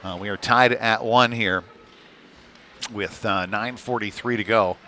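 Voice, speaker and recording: A man's voice: lowish voice, fairly even intonation, unhurried, pushed and bright. A man doing live ice hockey play-by-play commentary in a conversational, informal manner. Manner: conversational, informal, tag questions. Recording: clearly noisy venue ambience.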